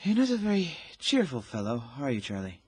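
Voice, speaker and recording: A man's voice: a little high, wildly swooping pitch, a little fast, darkish tone, breathy edge, no room sound, exaggerated voice. A man playing a cheeky, talkative young wounded soldier in a period radio drama. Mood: warm, teasing.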